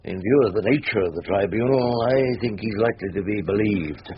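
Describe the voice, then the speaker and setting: A man acting a rumpled older English barrister, wry and eloquent, in a radio-style drama. His low voice moves slowly through literary phrases with hums and pauses. The recording is dull, with a light hiss. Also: natural voice. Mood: dry, teasing. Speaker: actor playing a barrister.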